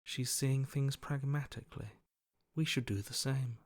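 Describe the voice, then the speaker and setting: Cold bored video game inner voice, blunt n dismissive, mid pitch, super dry close sound. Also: male voice, steady tone, measured pace, no room sound. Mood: coolly pragmatic.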